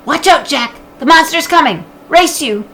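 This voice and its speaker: A woman voicing an excitable, bold young girl in a children's storybook, calling out eagerly. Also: high-pitched, moderate pace, slight background noise, pitched above the performer's own voice. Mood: teasing alarm. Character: excitable, bold little girl, adventurous and loud.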